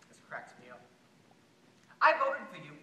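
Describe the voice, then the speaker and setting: An actor playing an unhinged, bitter working-class American would-be assassin in a theatrical stage monologue, veering erratically between shouted rage and hushed pleading. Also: male voice, on the high side, wildly swooping pitch, normal speed, heavily acted. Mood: sarcastic, mocking. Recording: distant, echoey amateur stage recording, faint noise.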